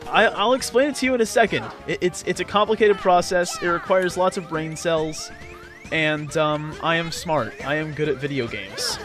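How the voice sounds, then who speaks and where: A man giving casual video game commentary, explaining in a halting, rambling way with sarcastic jokes. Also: fairly high pitch. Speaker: let's play host and player. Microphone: voice-over commentary recorded over game footage. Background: game music.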